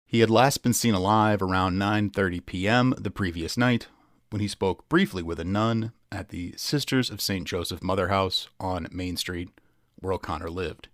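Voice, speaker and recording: A man's voice: lowish voice, dry recording, studio quality. A man reading a scripted true crime article as a dramatic narrator.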